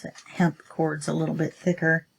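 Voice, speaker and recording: A woman's voice: low voice, level tone, moderate pace, gravelly, background noise. A woman with a stuffy nose, talking to herself while she demonstrates a craft project in a casual tutorial. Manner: chatty, self-talking while working, sniffly. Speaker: crafting channel host.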